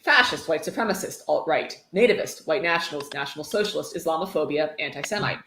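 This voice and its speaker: A woman's voice: deep voice, expressive, quick pace, fairly bright tone. A woman with a dry, sarcastic manner, reading a book aloud and adding casual commentary. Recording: roomy echo, faint noise.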